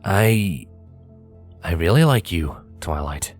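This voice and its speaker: Man as a shy young male pony, lowish voice, quiet, sincere, measured, cautious storybook suitor. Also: expressive, soft background music. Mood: nervous sincerity.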